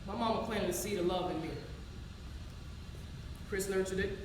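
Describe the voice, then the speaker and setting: A woman's voice: medium pitch, fairly even intonation, normal speed, natural voice. A woman playing a wounded yet faithful grieving woman in an emotional, colloquial theatrical monologue. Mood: resolved, hopeful. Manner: emotional, colloquial, emphatic, pleading. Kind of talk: theatrical one-act stage monologue. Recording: roomy echo, distant mic, loud noise.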